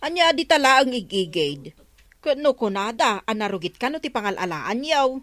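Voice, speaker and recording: A woman's voice: a little high, very animated, normal speed, fairly bright tone, dry recording. A woman acting a suspicious, scolding village customer with an Ilocano accent and blunt, nagging delivery in a comedy radio drama.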